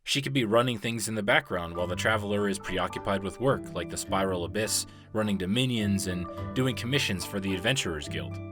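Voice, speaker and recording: A man reads scripted game lore narration in a fairly low voice. Music plays clearly behind him throughout. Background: background music.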